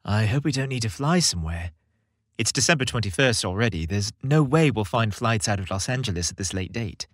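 A man's voice: fairly low pitch, animated, a little fast, no echo, studio quality. A man voicing a devoted, earnest young boyfriend, caring and determined, in a romantic audio story. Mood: worried.

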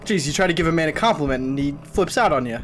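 A man in his twenties talks casually through a horror game in a fairly high-pitched voice. His manner is wry and jokey, addressed to his viewers. Light music plays behind his close mic. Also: animated, fast talker. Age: 20s. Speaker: gaming streamer. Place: small indoor streaming room.

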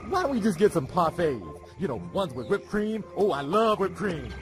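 An actor voicing an excitable, wisecracking talking donkey with an American accent and a chatty, melodramatic manner. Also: male voice, high-pitched, moderate pace. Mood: eager. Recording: loud music over the voice. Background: loud game music.

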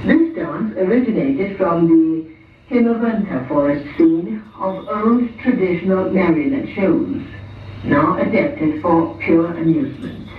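A man's voice: high voice, measured pace. A man making formal, scripted announcements as the presenter of a stage puppet show. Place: theatre hall, recorded from the audience. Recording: echoey hall PA, distant, tinny, noisy.

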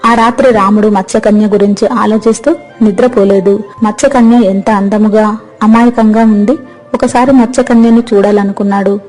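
A woman's voice: medium pitch, fairly even intonation, moderate pace, darkish tone, soft background music. A woman narrator reading a scripted story.

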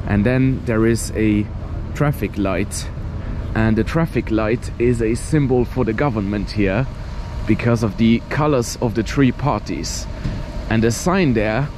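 A man in his thirties from Luxembourg, narrating a walking travel vlog in a relaxed, chatty way, filling pauses with "and yeah". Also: fairly low pitch. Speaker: travel vlogger. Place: outdoors on cold city streets. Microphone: handheld camera at arm's length. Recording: outdoor street noise, traffic.

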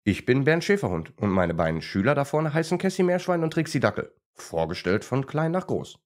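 A man's voice: mid-range voice, sing-song, fairly quick, pitched above the performer's own voice, lightly acted. A man voicing a friendly male German shepherd who plays teacher in a children's story, polite and instructive.

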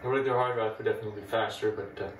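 A man's voice: lowish voice, rapid-fire, a little hiss or hum. A man with a Dutch accent, a native Dutch speaker, casually narrating a step-by-step demo of an old computer in a room full of old machines. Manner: relaxed, chatty, explains step by step. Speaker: retro computing video host. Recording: echoey room, mic at a distance.

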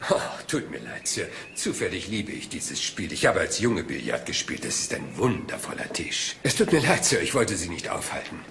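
An actor playing a polite, seemingly scatterbrained police inspector in a crime drama, hesitant, rambling and deferential. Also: male voice, low-pitched, very animated, moderate pace, gravelly, few pauses, a bit bright, slight background noise, heavily acted. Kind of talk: German crime drama dialogue.

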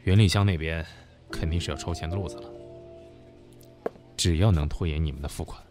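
A man's voice: rumbling low, fairly quick, over music. A man acting an insinuating, worldly senior businessman in an audio drama, with a knowing, needling manner. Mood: knowing, confiding.

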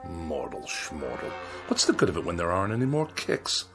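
An actor playing an irritable, arrogant middle-aged husband in a radio drama, curt and sarcastic. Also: male voice, sits low, huge pitch swings, moderate pace, music in the background, full character voice. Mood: bored, scornful.

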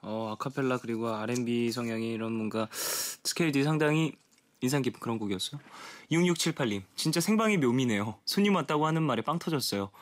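A man's voice: mid-range voice, quick pace, faint music behind. A young man in his twenties hosting a late-night radio show, chatting politely with phone-in listeners and laughing often. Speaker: radio host. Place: radio studio.

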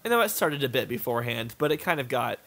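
A man's voice: mid-range voice, very animated, fast, creaky, fairly bright tone. A man talking casually as he plays a video game, rambling and often saying "like," with some swearing. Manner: rambling, peppered with "like". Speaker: gaming video co-host and player. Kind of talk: casual chat over gameplay. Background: video game play.

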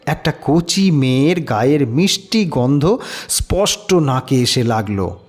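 A man narrating a scripted horror story in a dramatic, measured, suspenseful way. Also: mid-range voice, lively intonation, darkish tone.

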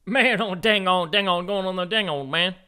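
A man's voice: high-pitched, moderate pace, bright, forceful tone, smooth. A man voicing a rambling cartoon man with a Southern American accent and slurred speech.

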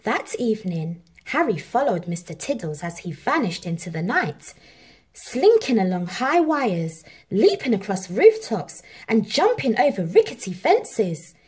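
A woman reading a children's story aloud as an expressive, measured narrator. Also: mid-range voice, husky. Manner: animated, measured. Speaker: storybook reader.

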